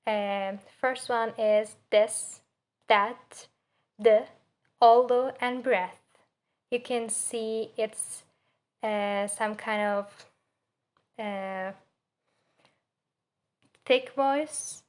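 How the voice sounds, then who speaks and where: A young woman with a Turkish accent presents like a student giving an assignment. Her voice sits fairly high and stays quite flat. She goes slowly and haltingly, searching for words and setting out examples with care. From Turkey. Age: young adult. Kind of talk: spoken assignment presentation on pronunciation.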